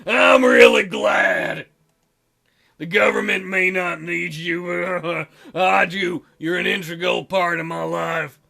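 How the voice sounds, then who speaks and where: A man performs an awkward young man in love in a story game. He speaks in a high, creaky voice, halting and earnest, full of shy tenderness. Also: steady tone, bright, forceful tone, full character voice. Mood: choked-up tenderness. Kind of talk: character voice in a visual novel read-aloud.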